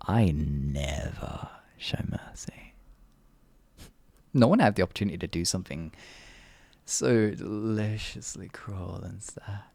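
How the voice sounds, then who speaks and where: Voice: low voice, expressive, takes it slowly, gravelly, a little mellow, dry recording, exaggerated voice. A performer voicing an evil, sadistic sorcerer in an ASMR fantasy roleplay, theatrical and condescending, stretching words and slipping into menacing whispers. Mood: gloating cruelty. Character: evil, sadistic, all-powerful sorcerer, gloating and cruel.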